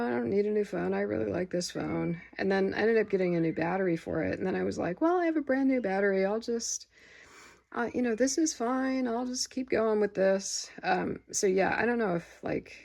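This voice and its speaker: A tired woman with a gravelly voice, rambling casually about her record haul in a home vlog. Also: medium pitch, darkish tone. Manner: rambling, many fillers and restarts. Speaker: vinyl record vlogger. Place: home room with window open. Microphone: phone camera.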